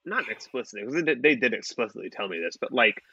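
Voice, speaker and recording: A man's voice: mid-range voice, expressive, fast, pushed and bright, husky, dry recording, muffled recording. A man in his late twenties chatting casually on a podcast, rambling with restarts and fillers and swearing freely. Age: late 20s. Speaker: podcast co-host. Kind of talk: casual chat.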